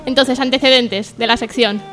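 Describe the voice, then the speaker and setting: A woman with a high voice presents her radio section informally. She is earnest and hesitant, often putting herself down, with faint music behind her. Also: steady tone, moderate pace, fairly bright tone. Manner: hesitant, self-deprecating, earnest. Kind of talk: informal spoken radio monologue.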